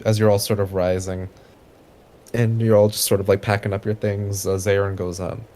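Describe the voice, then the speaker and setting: A man's voice: on the low side, level tone, moderate pace, warm tone. A man narrating a tabletop game as game master, with casual asides. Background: music.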